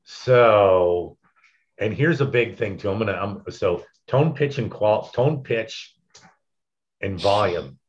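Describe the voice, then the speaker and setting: Man, deep loud voice, relaxed instructor explaining speaking technique; dull lo-fi recording. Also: slow. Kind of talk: casual teaching chat.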